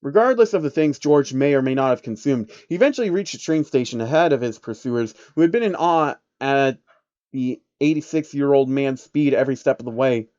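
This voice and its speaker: A man's voice: medium pitch, animated, a little fast, darkish tone, dry recording. A man narrates a comic story in a casual, irreverent way, dropping in sweary asides. The sound is close and dry, slightly dull and lo-fi. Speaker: narrator of online fan fiction.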